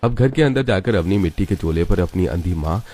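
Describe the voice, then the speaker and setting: Low, mellow male storyteller. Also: lively intonation, brisk, faint music behind. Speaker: story narrator. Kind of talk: scripted cartoon story narration.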